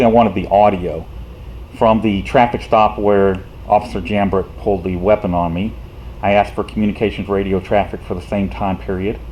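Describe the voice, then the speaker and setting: Low-voiced activist guy venting to camera, lots of uh's, faint ac hum behind. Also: a little mellow, poor audio. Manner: frustrated, rhetorical, halting with fillers. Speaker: citizen activist. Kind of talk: casual talk to camera.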